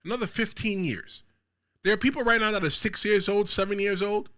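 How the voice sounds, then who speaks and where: A man's voice: sits high, fairly quick, bright, forceful tone. A man from the Bronx hosting his own wrestling commentary show, talking straight to viewers and delivering emphatic hot takes. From the Bronx, New York. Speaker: show host.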